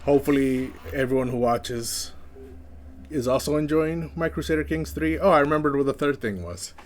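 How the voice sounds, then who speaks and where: A young man with a slight lisp, chatting casually as a first-time streamer in a flat, monotone way with many fillers. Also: a little high, moderate pace, a little hiss or hum. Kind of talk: casual chat.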